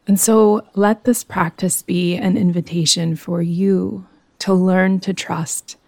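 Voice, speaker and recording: A woman guiding a yoga nidra meditation in a soothing, gentle, unhurried manner. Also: lowish voice, gravelly, no echo. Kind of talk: guided meditation.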